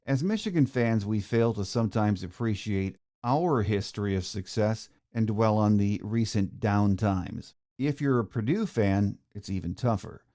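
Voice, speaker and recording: A man's voice: on the low side, dark tone. A middle-aged man hosting a sports podcast, delivering a scripted monologue. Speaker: podcast host.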